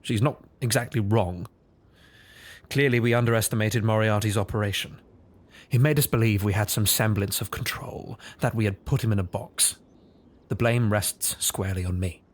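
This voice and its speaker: An actor playing a brilliant, brooding detective in an audio drama, speaking in a formal, clipped, cerebral manner. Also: male voice, lowish voice, steady tone, moderate pace, close to an everyday voice. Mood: grave, composed. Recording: echoey long reverb, loud music.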